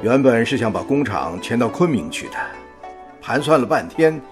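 An actor playing a calm, understanding middle-aged father in a radio drama, gentle and conciliatory. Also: medium pitch, normal speed, over music, lightly acted. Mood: reflective.

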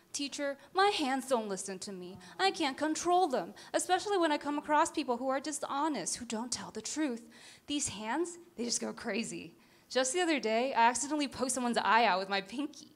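A woman's voice: high voice, voice pushed up. A woman doing a creepy taunting strangler man.

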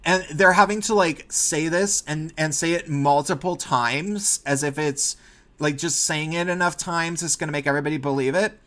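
A man's voice: a little high, fairly even intonation, moderate pace, a bit bright. A man with a nasal voice giving sarcastic, rambling opinion commentary as a vlogger.

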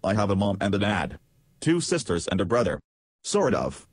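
Evasive interview chatbot, played by a man: lowish male voice, childlike bluntness, dry close sound. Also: sing-song, normal speed, dry recording. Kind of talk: reenacted text-chat interview.

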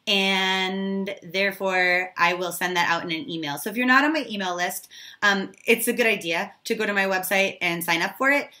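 A woman in her thirties, slightly hoarse from losing her voice, giving a casual, chatty tarot reading straight to viewers. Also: medium pitch, normal speed, pushed and bright. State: recovering from a lost voice, slightly hoarse. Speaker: tarot reader and video host.

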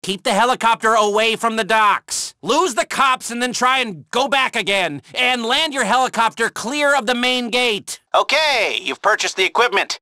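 An actor playing a jittery, sardonic criminal heist planner in a video game, talking casually with nervous, rambling asides. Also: male voice, very high voice, animated, moderate pace, few pauses, bright, forceful tone, dry recording, clean studio sound, heavily acted. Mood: urgent, businesslike. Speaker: heist coordinator giving mission briefings.

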